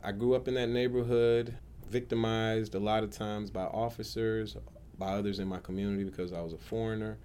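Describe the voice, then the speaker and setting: Deep-voiced man telling his personal story. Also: level tone, brisk, warm tone. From United States, Atlanta area. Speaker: public defender sharing personal story. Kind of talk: personal testimony, autobiographical storytelling.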